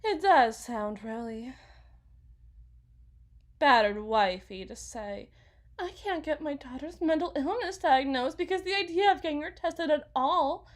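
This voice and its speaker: A woman performs an anguished mother in an intimate ASMR roleplay monologue. Her voice is notably high and she speaks slowly, in halting phrases. She confesses her guilt to a friend with dramatic feeling. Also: lively intonation. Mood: indignant, hurt.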